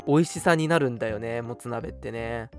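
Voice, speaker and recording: A man co-hosting a radio show in casual chat, often chiming in with quick agreement. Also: medium pitch. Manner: frequent quick agreeing backchannels. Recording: music playing under the talk. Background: background music.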